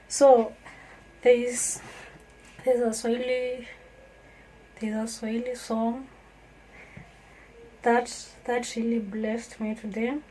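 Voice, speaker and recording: A woman's voice: fairly high pitch, dark tone, a little hiss or hum, rough recording. A woman from Kenya, talking earnestly and reflectively to her viewers in a casual vlog. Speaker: vlogger. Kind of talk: casual talk to camera.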